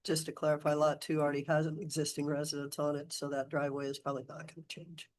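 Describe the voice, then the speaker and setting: Hoarse woman chairing a meeting. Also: low-pitched, completely flat delivery, a little mellow. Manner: brisk procedural phrasing. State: hoarse, losing her voice. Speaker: commission chair. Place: county meeting room.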